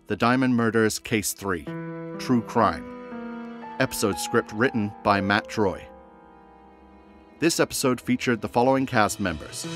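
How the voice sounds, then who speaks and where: A man reading scripted end credits as the announcer of a drama podcast. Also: medium pitch.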